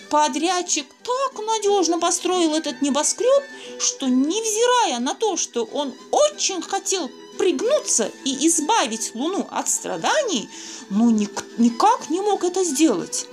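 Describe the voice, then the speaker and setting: A woman reading a children's fairy tale aloud, expressive and speaking fondly to young listeners. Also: very high voice, a little slow, faint music behind. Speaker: storyteller.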